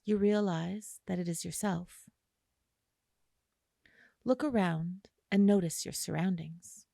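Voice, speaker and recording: A woman guiding a listener through an imagery meditation in a slow, soothing way, with long pauses. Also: lowish voice, gravelly, warm tone, no echo, clean studio sound. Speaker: meditation guide. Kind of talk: guided imagery meditation narration.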